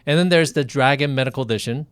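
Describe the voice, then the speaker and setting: A physician hosts a relaxed online interview and speaks frankly about his own work. His voice is smooth and clear, recorded dry and close. He often restarts his sentences. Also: medium pitch, dry recording. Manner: chatty, candid, halting restarts. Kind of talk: casual interview over video.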